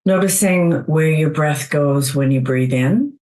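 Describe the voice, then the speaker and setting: A woman leading a guided meditation in a soothing, gentle, unhurried way, leaving long pauses between phrases. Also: low-pitched, poor audio.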